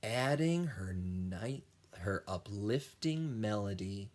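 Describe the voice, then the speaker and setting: A man who teaches children music, reading a picture book aloud in a deliberate, animated storytelling style. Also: fairly low pitch. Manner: slow, deliberate storytelling with sung and imitated sounds.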